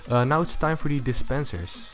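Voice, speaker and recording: A man with a low voice giving a casual, unscripted gaming tutorial, thinking aloud as he goes. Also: expressive, normal speed. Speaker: video tutorial host. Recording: music behind the voice. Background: loud music.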